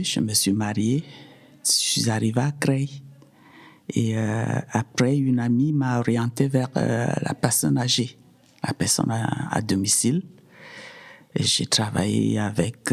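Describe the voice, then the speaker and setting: A middle-aged woman, giving personal testimony in a halting way, often repeating words. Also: very deep voice, fairly even intonation, vocal fry, a little mellow. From Cameroon. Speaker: podcast guest.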